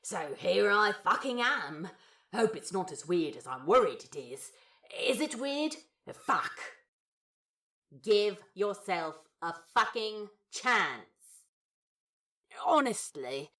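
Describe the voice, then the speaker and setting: A woman voicing an awkward, lovestruck, foul-mouthed man in a fan fiction audio drama, halting and rambling, with a husky, breathy voice. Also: mid-range voice, very animated, fairly bright tone, full character voice. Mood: exasperated, self-mocking.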